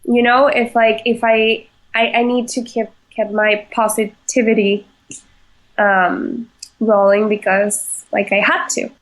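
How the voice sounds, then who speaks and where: Slow, soft-spoken woman. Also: on the high side, level tone, rough recording. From Venezuela. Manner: halting, many fillers and restarts, laughs easily. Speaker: chef, podcast guest. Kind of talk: casual interview answers.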